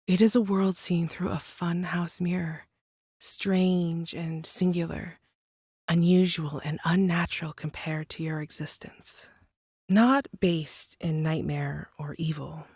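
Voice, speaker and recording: An actress playing an enigmatic, gently teasing woman from a world of monsters, telling a hushed bedtime tale in a measured, lyrical way for an audio drama. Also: fairly low pitch, breathy edge, dry recording, clean studio sound, exaggerated voice.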